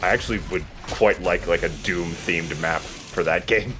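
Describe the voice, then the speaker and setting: A man's voice: low-pitched, moderate pace, noisy background. A man giving casual, joking commentary while he plays a video game. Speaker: let's play host playing the game.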